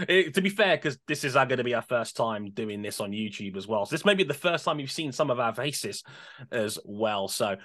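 A man's voice: medium pitch, expressive, normal speed, a bit bright. A young man hosting a podcast, upbeat and joking with dry sarcasm and lots of fillers. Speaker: podcast host. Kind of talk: casual podcast chat.

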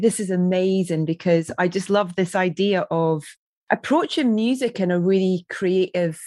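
A woman hosting a podcast, her voice a little gravelly, talking in a chatty, effusive way with many ums and restarts. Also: lowish voice, darkish tone, no room sound, studio quality. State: slightly gravelly, tired voice. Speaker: podcast host. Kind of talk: casual podcast chat and interview.

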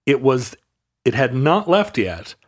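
A man's voice: medium pitch, very animated, slow pace. A man from Alaska telling a long, rambling anecdote in casual conversation, full of restarts and wry asides. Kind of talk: casual chat, storytelling.

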